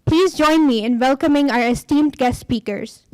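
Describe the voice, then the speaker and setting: A woman's voice: sits high, normal speed, poor audio. A woman hosting a university workshop, reading a formal, ceremonious introduction of the speakers. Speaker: event host.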